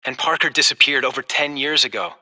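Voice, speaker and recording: An actor playing a proud, embittered young heir to a corporation in a video game, polished and intense. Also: male voice, medium pitch, a little fast, bright, forceful tone. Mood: grim, determined.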